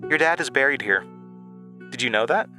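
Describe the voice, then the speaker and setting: A man voicing a gentle, wistful, free-spirited woman in an audio drama, speaking philosophically and hesitantly. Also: mid-range voice, expressive, brisk, pitched above the performer's own voice, natural voice. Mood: gentle, curious. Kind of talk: quoted dialogue in audio drama. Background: music.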